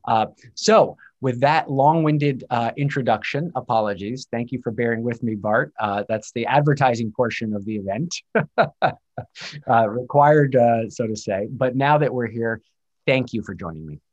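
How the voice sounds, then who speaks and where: A man's voice: mid-range voice, a little slow, darkish tone, highs cut off. A man in his forties hosting an online interview series, chatty, with frequent fillers. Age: 40s. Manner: chatty, filler-heavy, self-deprecating. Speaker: host.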